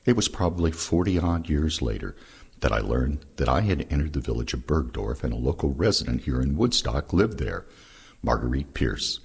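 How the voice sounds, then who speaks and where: A man's voice: extremely low pitch, gravelly, husky. A man reading first-person oral history recollections aloud as scripted narration. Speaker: reader of veterans' recollections.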